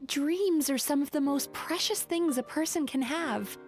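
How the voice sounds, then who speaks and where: A woman voicing a gentle young female dream-eating spirit in a video game, soft-spoken, polite and apologetic. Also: high-pitched, unhurried, soft background music.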